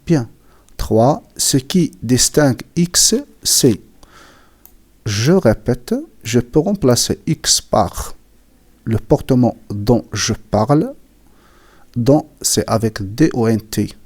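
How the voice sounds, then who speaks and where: A man teaching writing, slowly defining and repeating words, with a cold that makes him clear his throat. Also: mid-range voice, lively intonation, a little mellow. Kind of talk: slow classroom explanation.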